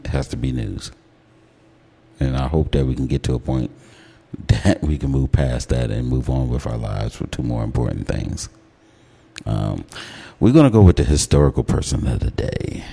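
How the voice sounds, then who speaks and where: Very deep-voiced American man hosting radio talk. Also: creaky, dark tone. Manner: enunciates words carefully. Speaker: radio talk show host. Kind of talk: casual opinionated radio talk.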